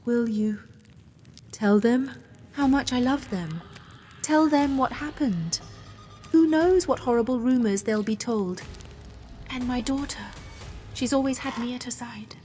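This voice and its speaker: A woman's voice: fairly high pitch, measured pace, pauses often, warm tone, voice pushed up, lightly acted. A woman voicing a dying queen and devoted mother, solemn and pleading, in dramatic audio storytelling. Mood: tender pleading. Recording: long hall reverb, music underneath.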